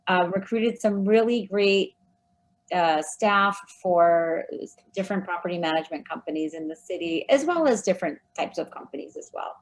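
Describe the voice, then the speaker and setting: A woman giving friendly, measured answers as a guest in an interview. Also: medium pitch. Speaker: guest, recruiting firm founder.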